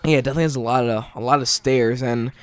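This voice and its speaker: A tired man giving casual gameplay commentary late at night, stuttering often and filling pauses with ums and likes. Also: medium pitch, steady tone, clear, resonant tone, soft background music. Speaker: gaming video commentator.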